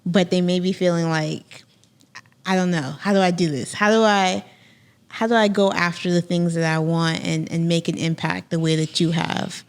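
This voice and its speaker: A woman with a slightly low voice co-hosts a podcast. She interviews in a casual, conversational manner. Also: steady tone, moderate pace, clean tone. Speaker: podcast co-host.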